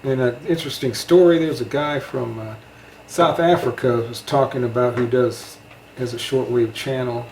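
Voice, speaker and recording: A man's voice: mid-range voice, fairly even intonation, moderate pace, hardly any pauses, darkish tone. A man chatting casually and reminiscing as the guest in an interview. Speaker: interviewee, radio hobbyist. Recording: roomy echo, background noise.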